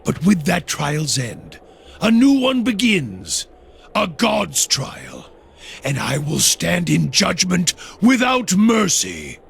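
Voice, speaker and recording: Grim fantasy game zealot: slow gravelly preaching that swings widely in pitch. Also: male voice, huge pitch swings, exaggerated voice. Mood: ominous. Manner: slow, theatrical, preacher-like pronouncements. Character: grim, zealous, embittered wandering priest of fire. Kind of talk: video game character acting.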